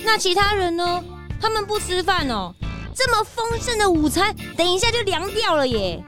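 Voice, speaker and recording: Very high, cute little sidekick. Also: female voice, very animated, normal speed, over music. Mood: curious. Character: curious innocent little child detective's sidekick. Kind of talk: children's audio drama acting.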